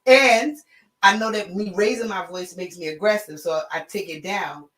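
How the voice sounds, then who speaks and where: A woman's voice: mid-range voice, normal speed, a bit bright. A woman co-hosting a casual chat, with a heavy, confidently strong voice that she keeps down. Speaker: co-host. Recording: echoey room.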